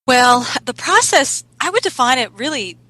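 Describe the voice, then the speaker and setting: A woman's voice: high-pitched, animated, moderate pace, bright, forceful tone, slight background noise. A middle-aged woman raised in the United States, answering conversationally as a business coach being interviewed. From United States, born in South Korea and immigrated at four. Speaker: business coach, podcast guest.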